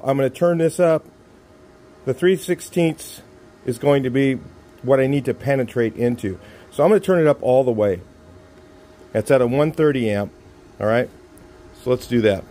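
A man's voice: mid-range voice, moderate pace. A middle-aged man giving a casual hands-on welding lesson in an outdoor driveway workshop, talking plainly as he works, filmed first-person on the camera's own mic. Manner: relaxed, plainspoken, talks while working. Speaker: garage welding instructor.

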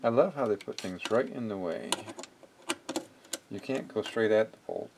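A man narrating a hands-on repair casually, haltingly thinking aloud as he works. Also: on the low side, heavy background noise. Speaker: repair hobbyist and video maker. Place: indoor cluttered electronics workbench.

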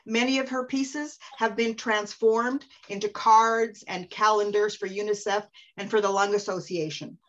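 A woman moderating a webinar on a video call, introducing guests in a gracious, measured way. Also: mid-range voice, fairly even intonation, a bit bright. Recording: muffled lo-fi video call audio.

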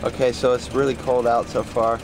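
A man's voice: medium pitch, little pitch movement, a little fast. A man chatting casually and teasing fellow travelers as he films a trip inside a minibus. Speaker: amateur cameraman interviewing fellow travelers. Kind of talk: casual travel vlog chat. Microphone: handheld camcorder. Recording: loud vehicle noise, camcorder sound.

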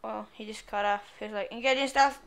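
A woman's voice: mid-range voice, steady tone, brisk. A woman giving casual, irreverent video game commentary, playfully muttering asides as she plays.

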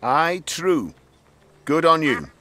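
Stingy game villager, high sharp voice, grudging and worked up. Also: male voice, very animated, takes it slowly, a little hiss or hum, heavily acted. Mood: grudging, indignant. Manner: exclaiming, grudging. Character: stingy, indignant male townsman.

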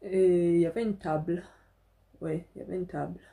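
Mellow-voiced young woman vlogging. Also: on the low side, fairly even intonation, fairly quick, creaky. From Africa. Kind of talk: casual personal storytelling to camera. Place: small furnished room indoors.

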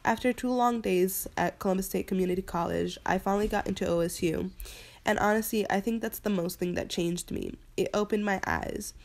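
A young woman from Palestine, a student, narrating her own immigration story in a quiet, reflective way. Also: medium pitch, brisk. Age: young adult. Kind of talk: personal narration.

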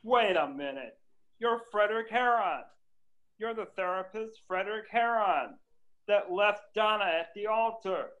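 Muffled higher-voiced man, acting a grieving widower. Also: measured pace. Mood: surprised. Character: grieving, overwhelmed widower in a sitcom. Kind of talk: table read of a sitcom script. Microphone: video call. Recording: muffled video call audio.

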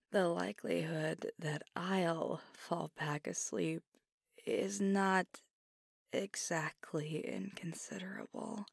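A woman voicing a husky whispering werewolf girlfriend. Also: medium pitch, no echo, studio quality, full character voice. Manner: slow, breathy, teasing with small laughs. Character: fiery young werewolf girlfriend, stubborn and teasing. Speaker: voice actor. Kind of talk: ASMR roleplay, soft-spoken and whispered.

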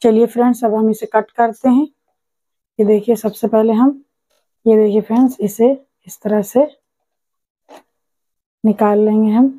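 A woman's voice: a little high, steady tone, a little slow, pauses often, warm tone, dry recording, low-quality recording. A woman hosting a cooking channel, patiently walking viewers through a recipe step by step and often calling them friends. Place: home kitchen.

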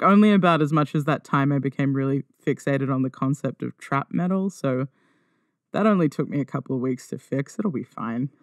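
A woman's voice: low voice, no room sound. A woman hosting a podcast solo in a casual, conversational way, wry and self-deprecating. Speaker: podcast host. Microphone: close podcast microphone.

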